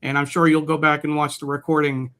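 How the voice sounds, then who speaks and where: A man's voice: on the high side, level tone, brisk, over a noisy crowd. A man co-hosting a casual livestream, speaking in an earnest, appreciative way. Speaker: co-host of a livestream show.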